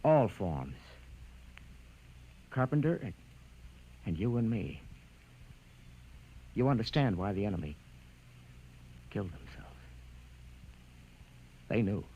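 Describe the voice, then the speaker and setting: An actor playing a doomed, weary man in a 1950s radio drama, halting and dramatic in his speech. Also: fairly low pitch, sing-song, breathy edge, muffled recording, exaggerated voice. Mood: grim, resigned. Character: doomed, weary man facing humanity's end. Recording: noisy old radio recording.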